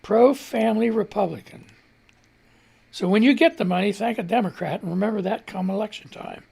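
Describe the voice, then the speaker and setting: A man hosting a casual live radio news show, reading posts aloud and ranting about them with sarcasm and the odd swear word. His voice is gravelly, and he keeps holding back a cough. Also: high-pitched, fairly quick, a little mellow. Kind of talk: casual political commentary, reading and reacting to posts. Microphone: studio mic on a live stream.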